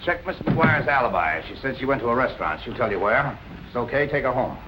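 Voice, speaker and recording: Actor playing a dry, unruffled 1940s police captain. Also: male voice, medium pitch, very animated, quick pace, muffled recording, very noisy, natural voice. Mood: businesslike. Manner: clipped, matter-of-fact questioning. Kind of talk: 1940s crime film acting. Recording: old 1940s film soundtrack.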